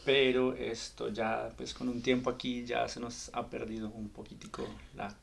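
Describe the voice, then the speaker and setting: A man's voice: mid-range voice, level tone, moderate pace, vocal fry, slight background noise. A man in his early thirties from Colombia, with a Colombian accent, talking casually as a podcast co-host.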